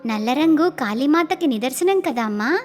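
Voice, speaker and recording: A woman's voice: high-pitched, lively intonation, normal speed, soft background music. A woman voicing a kind, innocent little village girl in a cartoon moral story, earnest and pleading.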